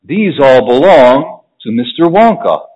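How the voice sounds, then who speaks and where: A man voicing an indulgent mother who placates her spoiled child in a soft, soothing way. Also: mid-range voice, big pitch swings, measured pace, dull audio. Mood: placating.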